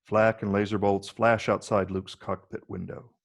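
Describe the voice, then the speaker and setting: A man narrates a script's stage directions in a low register. His voice has a heavy gravelly fry as he reads out the scripted action. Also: level tone, normal speed.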